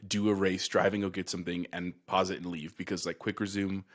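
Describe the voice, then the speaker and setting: A man's voice: sits low, level tone, a little fast. A man co-hosting a casual gaming podcast, talking in an easygoing, conversational way. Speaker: podcast co-host.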